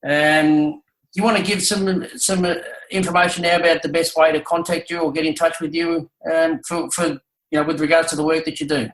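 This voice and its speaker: A man's voice: a little high, little pitch movement, fairly quick, fairly bright tone. A man interviewing casually, complimentary and a little hesitant as he phrases things. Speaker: guest interviewer. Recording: roomy, echoing sound.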